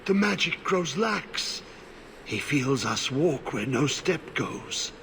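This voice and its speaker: An actor playing a half-mad, corrupted old warden in a video game, haunted and cryptic, speaking in halting fragments. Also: male voice, on the high side, very animated, creaky, fairly bright tone, a little hiss or hum, exaggerated voice. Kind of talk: video game cutscene acting.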